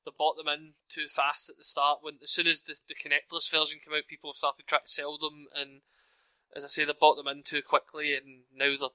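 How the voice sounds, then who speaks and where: A Glaswegian man chatting on a podcast over a computer mic. His voice is very bright and sits a little high, and the sound is dry and close. He jokes and swears freely. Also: monotone, brisk, no room sound, poor audio. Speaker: podcast co-host. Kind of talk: casual chat.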